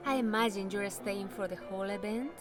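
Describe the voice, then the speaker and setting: A woman's voice: medium pitch, brisk, lightly acted. A woman playing a gracious family friend at a party, polite and charming. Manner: polite, gracious, slips into Spanish words. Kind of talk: fan audio drama acting. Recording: music bed under the dialogue.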